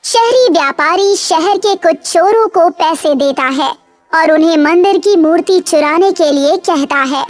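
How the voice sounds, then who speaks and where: A woman's voice: very high voice, a little slow, no echo. A woman narrating a scripted moral story for children.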